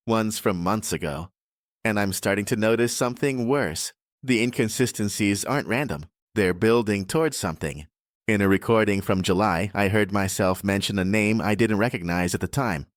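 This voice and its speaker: Guy reading a first person horror story, lowish voice, deliberate delivery, really dry recording. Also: dry recording. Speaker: horror audiobook narrator.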